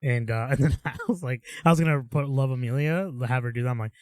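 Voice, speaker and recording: Animated young male podcast co-host. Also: medium pitch, fast talker, no room sound, studio quality. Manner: rambling and animated, with upbeat storytelling. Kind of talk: casual chat.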